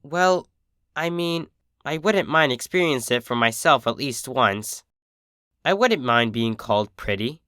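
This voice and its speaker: A man's voice: medium pitch, animated, measured pace, sharp tone, clear, resonant tone, dry recording, studio quality. A man voicing a young female pony, an insecure and adoring filly with an earnest, childlike, pleading manner. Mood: lonely.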